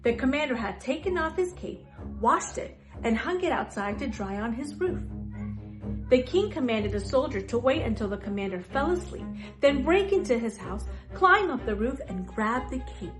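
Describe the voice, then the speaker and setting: Lady reading a kids book out loud, high voice, really dramatic storytelling, music with it. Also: expressive, normal speed. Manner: dramatic, emphatic word-by-word delivery. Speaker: children's author and storyteller. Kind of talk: expressive children's storybook narration.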